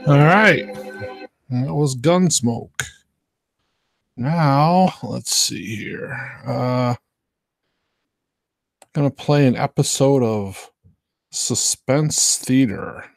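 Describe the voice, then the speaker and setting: A male host speaks extremely slowly with a lot of gravelly fry in his voice. His introductions are casual and hesitant, picked up close and dry. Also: mid-range voice, no echo, soft background music. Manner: unhurried, hesitant, informal. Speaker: host of an old-time radio rebroadcast.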